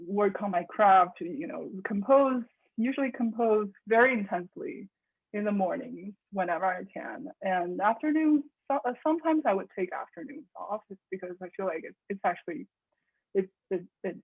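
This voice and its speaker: A young woman from China, a composer, chatting in an interview with many restarts and soft laughs. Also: mid-range voice, normal speed. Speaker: composer guest. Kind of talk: casual interview conversation. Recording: husky voice over tinny phone-like line.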